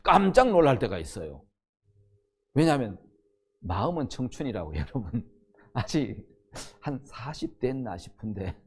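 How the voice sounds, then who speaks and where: Older male preacher with a very animated delivery. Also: mid-range voice, normal speed, pauses often, slight background noise. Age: 60s. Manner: emphatic teacher, often asks and answers own questions. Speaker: pastor. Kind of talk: Bible teaching sermon.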